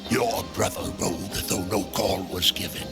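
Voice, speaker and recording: A sly undead merchant from a fantasy video game, speaking slowly in a low voice that swoops and lingers. He is theatrical and knowing, with music playing strongly behind him. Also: fairly even intonation. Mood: knowing, measured. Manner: unhurried, theatrical, knowing, sighing and chuckling. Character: sly undead merchant, last of a burned race. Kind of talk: video game cutscene acting. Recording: loud music under the voice.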